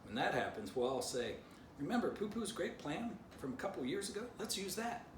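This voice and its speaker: Man voicing a tactful dog leader, animated pitch. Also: brisk. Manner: diplomatic, reassuring. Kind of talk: children's storybook character voice. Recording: distant mic, roomy, noisy.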